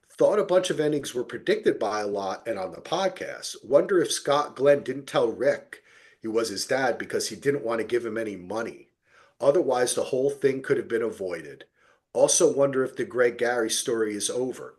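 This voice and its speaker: Animated male podcast host, lively pitch swings. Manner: animated, chatty, informal. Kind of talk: casual TV recap discussion, reading listener comments.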